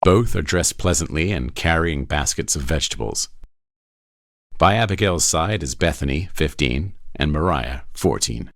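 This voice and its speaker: A man's voice: extremely low pitch, expressive, moderate pace, a bit bright, no room sound, clean studio sound. A man reading screenplay stage directions as scripted narration.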